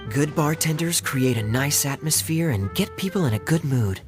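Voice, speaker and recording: An actor playing a sly, teasing young knight in a video game dub, smooth and needling. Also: male voice, on the high side, animated, moderate pace, natural voice. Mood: playful. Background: background game music.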